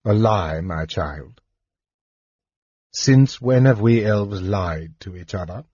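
A man voicing a dying old elf king who speaks quietly and heavily. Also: lowish voice, slow, breathy edge, no echo, muffled recording. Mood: sorrowful.

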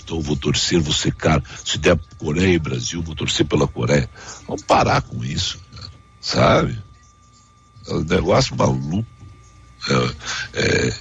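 A man with an extremely deep, creaky voice and a southern Brazilian accent. He hosts a talk show with casual, emphatic opinions and frequent repetition. The sound is a little dull and muffled. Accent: southern Brazilian Portuguese. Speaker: radio talk show host. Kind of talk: casual opinionated on-air commentary.

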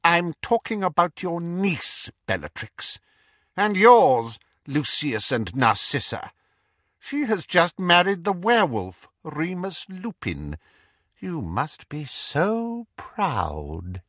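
A man voicing a cold, menacing male dark lord with a quiet, carrying voice, speaking slowly and mockingly. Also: fairly high pitch, big pitch swings, no echo, clean studio sound, voice pushed up, full character voice. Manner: slow, silky and mocking. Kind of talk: audiobook character voice.

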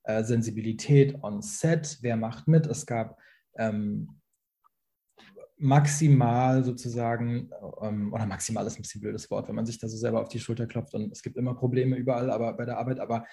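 A man's voice: mid-range voice, fairly even intonation, normal speed, gravelly, mellow. A young man on a video call, a guest speaking hesitantly, with many fillers and restarts. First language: Farsi. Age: young adult. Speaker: actor, invited guest. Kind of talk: online panel talk.

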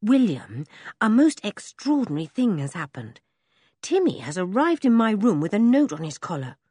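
A woman reading an audiobook voices a frightened, gentle young girl who talks in an anxious, pleading way. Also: medium pitch, sing-song, normal speed, husky, no room sound.

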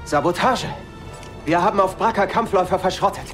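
An actor playing a determined young Jedi fugitive in a sci-fi audio drama. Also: male voice, high-pitched, fairly quick, lightly acted. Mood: resolute. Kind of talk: German audio drama of a sci-fi video game. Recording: loud music behind the voice.

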